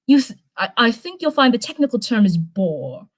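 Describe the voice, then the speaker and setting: A woman's voice: a little high, big pitch swings, normal speed. Woman reading as playful, teasing teen boy. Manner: playful, casual. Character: playful, teasing teenage boy, cheeky but caring. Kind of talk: storybook character voice.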